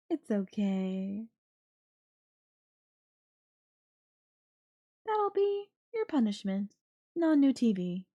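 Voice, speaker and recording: A woman's voice: medium pitch, sing-song, very slow, pauses often, warm tone, muffled recording, full character voice. A woman voicing a possessive yandere girlfriend in an audio roleplay, coaxing and teasing her captive with veiled threat. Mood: mocking, punishing. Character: possessive yandere girlfriend, sweetly menacing captor. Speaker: voice actor.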